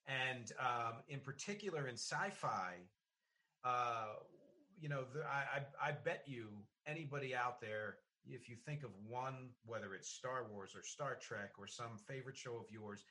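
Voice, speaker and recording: A man speaks as the host of a casual interview. His voice is bright and forceful, at an average pitch. He is reflective and halting, working out his points aloud. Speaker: podcast host.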